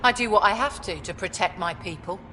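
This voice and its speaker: A woman's voice: mid-range voice, brisk, sharp tone, long reverb tail, a little hiss or hum, close to an everyday voice. A woman playing a hardened, short-tempered outlaw leader in a video game, speaking in a blunt, menacing way. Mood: angry, defiant. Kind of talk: video game cutscene acting.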